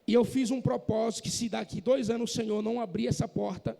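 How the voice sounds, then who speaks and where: Male pastor, very high voice, impassioned shouting sermon, long church reverb. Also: fairly even intonation, fast talker, gravelly. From Northeast Brazil, Maranhão. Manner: impassioned preaching, rising to shouts. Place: church hall. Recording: echoey church hall.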